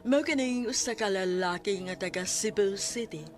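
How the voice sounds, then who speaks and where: A woman's voice: mid-range voice, moderate pace, music in the background. A woman with a Cebuano accent reading scripted narration as a radio drama announcer.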